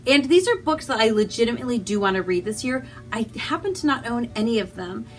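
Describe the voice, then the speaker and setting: A woman chatting casually as a book vlogger, animated and rambling, with self-deprecating asides. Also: a little high, fast talker, soft background music. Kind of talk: casual chatty vlog to camera.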